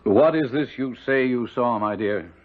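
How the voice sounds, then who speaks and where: An actor playing a middle-aged gentleman doctor in a radio drama, exclamatory and commanding, with some hesitation. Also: medium pitch, moderate pace, natural voice. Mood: brisk, skeptical. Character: brisk, skeptical gentleman doctor, shaken by discoveries. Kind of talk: 1970s radio drama acting. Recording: muffled, lo-fi vintage radio recording.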